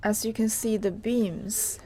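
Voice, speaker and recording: A woman reporter giving an unscripted live tour on location, in a halting, hesitant way with pauses mid-phrase. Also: mid-range voice, fairly even intonation, dark tone. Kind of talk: unscripted live walk-through narration. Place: on location inside an old house. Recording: clearly noisy on-location live recording.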